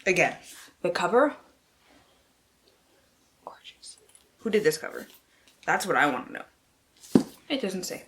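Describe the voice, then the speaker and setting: A woman's voice: lowish voice, very animated, slow, pauses often, slight background noise. A woman book vlogger who mixes casual, slangy chat with mock-dramatic blurb reading and playful, sweary asides to herself.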